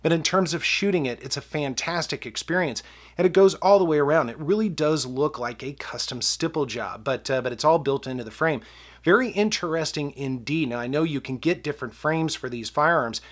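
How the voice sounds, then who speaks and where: A man's voice: a little high, brisk. A man with a stuffed-up voice from a cold, hosting a casual gun review and chatting with viewers as "guys.". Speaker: gun review channel host. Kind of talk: casual product review talk to camera.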